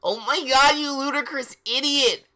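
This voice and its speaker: Blustering wizard schoolteacher, acted by a man, exasperated and shouty, raised pitch, drawn-out insults. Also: exaggerated voice. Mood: outraged.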